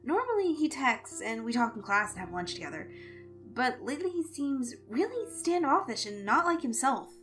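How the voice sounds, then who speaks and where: A woman acts out a college girl in a storybook reading, earnest and pressing. The voice is lifted high and set over background music. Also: big pitch swings, moderate pace, a bit bright. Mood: concerned. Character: young female college student, concerned and assertive. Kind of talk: acted story dialogue. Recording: music behind the voice.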